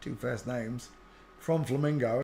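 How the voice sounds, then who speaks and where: A man's voice: mid-range voice, gravelly, warm tone. Brash, scoffing male video commentator. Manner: brash, scoffing, jumps between asides and quick remarks. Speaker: channel host commenting on clips. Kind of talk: casual mocking commentary over video clips.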